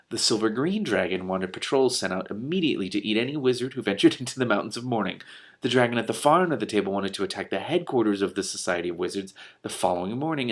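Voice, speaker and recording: A man hosting an expressive book read-aloud, breaking off for animated, incredulous, casual reactions. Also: on the low side, gravelly. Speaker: host reading a book aloud with commentary.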